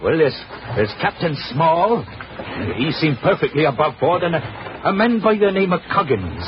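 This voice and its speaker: An actor playing a deferential, chatty police sergeant who hesitates and repeats himself, in 1940s radio drama style. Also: male voice, a little high, very animated, moderate pace. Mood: earnest, gossipy. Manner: hesitant, folksy, stammering repeats. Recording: muffled, noisy 1940s radio recording.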